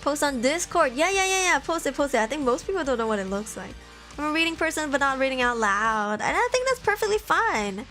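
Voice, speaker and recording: A young woman from Malaysia chatting casually on a live stream, reading viewer messages aloud and laughing often. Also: brisk. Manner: chatty, laughs often, lively jumps in pitch. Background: faint background music.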